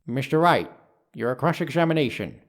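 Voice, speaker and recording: Man playing a pompous old judge, animated voice. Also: medium pitch, moderate pace, background noise, close to an everyday voice. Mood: formal. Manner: formal, ponderous, puzzled questioning. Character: pompous, easily baffled old male courtroom judge. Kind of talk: dubbed courtroom game acting.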